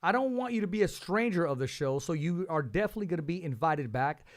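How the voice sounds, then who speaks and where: A male host runs a boxing interview show, full of hype and quick restarts as he gets excited. His voice sits a bit high for a man. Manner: enthusiastic, hype-driven, stumbles on restarts. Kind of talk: casual interview chat.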